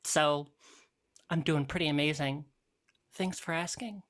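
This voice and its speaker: A woman's voice: low voice, fairly even intonation, measured pace. A woman playing a sarcastic, catty, world-weary care worker in a play reading, with a dry mock-sweet delivery. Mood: bitterly sarcastic.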